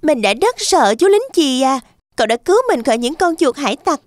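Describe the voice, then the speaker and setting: A woman's voice: sits high, big pitch swings, a little fast, no room sound, clean studio sound. A woman voicing a sweet, timid young girl in a children's cartoon fairy tale, speaking politely and expressively. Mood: grateful, shy.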